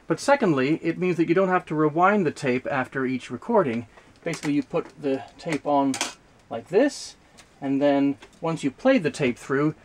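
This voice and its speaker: A man giving an unscripted explanatory demonstration, speaking haltingly with frequent hesitations and self-corrections. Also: on the high side. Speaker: presenter demonstrating vintage audio gear.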